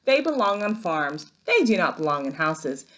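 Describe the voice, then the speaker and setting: A woman's voice: deep voice, very animated, moderate pace. A woman voicing a bossy, opinionated older sister in a children's storybook, speaking in an emphatic, commanding way. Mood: stern, lecturing.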